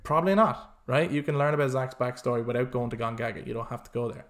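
A man co-hosting a podcast, chatting casually with animated, sweary rants and acted-out reactions. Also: mid-range voice, quick pace. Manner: animated, sweary ranting, acts out imagined reactions. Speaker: podcast co-host. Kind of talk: casual chat about films and games.

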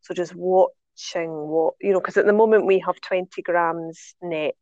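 A woman's voice: on the low side, steady tone, unhurried, darkish tone, no room sound, dull audio. A woman with a Scottish accent, a podcast guest chatting in a bubbly way and jumping from thought to thought. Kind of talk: casual chat.

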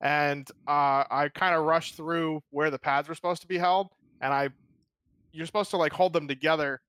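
A man's voice: a little high, steady tone, moderate pace, a bit bright, smooth, dry recording. A man co-hosting a podcast, telling a rambling story and laughing often. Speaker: podcast co-host. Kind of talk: casual chat.

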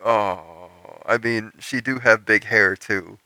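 A young man with a lowish, gravelly voice and a very sharp, cutting edge chats casually. He jokes irreverently in loose slang as a podcast co-host. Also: slow pace, dry recording. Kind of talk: casual chat.

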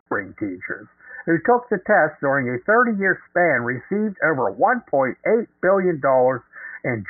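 A hoarse man delivering indignant opinion commentary, reading news aloud and filling pauses with "uh". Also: a little high. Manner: indignant, heavy "uh" fillers. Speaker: podcast commentator. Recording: tinny phone-like audio.

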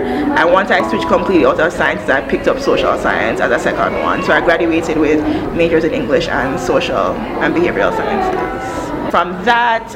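A woman from Jamaica, in her thirties, talks in an earnest, thoughtful way as an interview guest, pausing with fillers. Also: lowish voice. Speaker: interview guest, education NGO country director. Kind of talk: relaxed interview answers. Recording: music playing loudly underneath.

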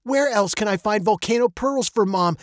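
A narrator as an eager, high-voiced little girl. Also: moderate pace, no room sound, clean studio sound, close to an everyday voice. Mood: pleading. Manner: pleading, insistent. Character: eager, pleading ten-year-old girl, stubborn and curious.

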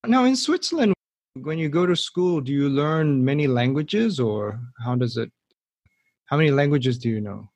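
A man's voice: mid-range voice, expressive, moderate pace, a little mellow, no room sound. A man hosting a podcast interview, friendly and often stopping and restarting with fillers. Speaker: podcast host.

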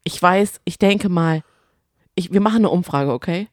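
A woman's voice: on the low side, moderate pace, dry recording, clean studio sound. A woman co-hosting a podcast in casual chat, telling things in a rambling, colloquial way. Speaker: podcast co-host.

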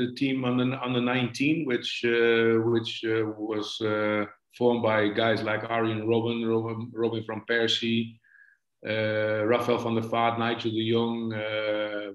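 A man in his sixties with a Dutch accent, a football coach answering interview questions haltingly, often stumbling and repeating words. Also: medium pitch, completely flat delivery. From Netherlands. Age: 60s. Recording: echoey room, dull lo-fi connection.

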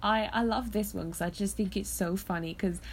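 Hoarse, sick woman podcast host. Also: mid-range voice, a little fast, slight background noise. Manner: chatty, laughs often. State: hoarse from illness. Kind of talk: casual chat. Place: in bed at home.

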